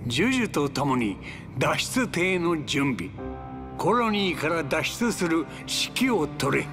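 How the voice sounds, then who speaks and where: An actor playing a stern, dutiful older military commander in a game, grave and authoritative. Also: male voice, fairly high pitch, very animated, takes it slowly, heavily acted. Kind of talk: video game drama acting. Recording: music behind the voice.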